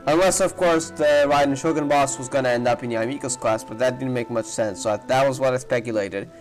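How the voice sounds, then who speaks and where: A man's voice: medium pitch, brisk, rough recording. A man giving casual, rambling playthrough commentary as he thinks aloud, with game music behind him. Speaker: gaming streamer reacting to a playthrough.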